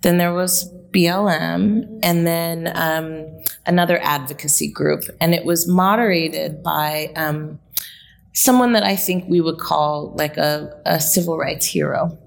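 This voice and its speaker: A woman's voice: sits low, steady tone, a little slow, vocal fry. A woman in her late thirties, a guest telling a story in a reflective, conversational way with frequent fillers. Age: late 30s. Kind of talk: conversational interview.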